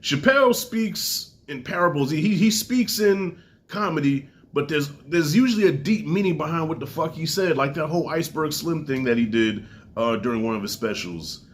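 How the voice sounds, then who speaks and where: A man in his forties with a stuffy, congested nose, casually reviewing a comedy special to camera, loose and profane with big swings in pitch. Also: expressive, normal speed.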